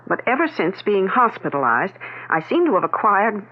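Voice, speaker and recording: Gracious, earnest grandmother female actor, medium pitch, lively inflection, insistent, muffled vintage radio drama. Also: normal speed, a little hiss or hum, natural voice. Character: earnest grandmother in hospital, convinced of her premonitions. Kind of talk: 1970s radio drama acting. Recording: muffled, phone-like 1970s radio recording.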